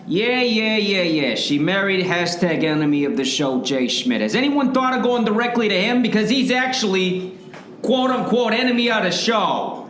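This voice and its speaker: A man's voice: high voice, lively intonation, moderate pace, a bit bright. A man playing a cagey, streetwise underground kingpin and bartender, evasive and wisecracking in a comic radio play. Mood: flustered, evasive. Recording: roomy echo, faint background noise.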